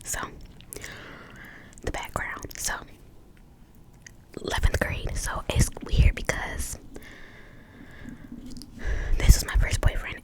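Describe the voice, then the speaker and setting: A woman's voice: slow pace, long pauses. Whispering young American woman, ASMR. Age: 20s. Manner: chatty, long-winded, repeats words softly. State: pregnant and short of breath. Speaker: ASMR video host. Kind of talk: whispered ASMR storytime, casual chat.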